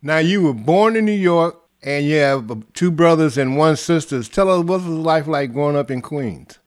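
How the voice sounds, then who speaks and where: A man interviewer asking measured, formal questions. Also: on the high side, lively intonation.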